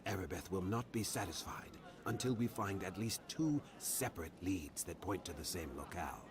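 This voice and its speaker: An actor playing a grave, formal man who gives out quests in a fantasy game, with measured, old-fashioned phrasing. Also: sits low, lively intonation, a crowd in the background. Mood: grave, earnest. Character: grave, formal man directing a hunt for cultists. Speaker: quest-giving game character. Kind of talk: scripted fantasy game dialogue.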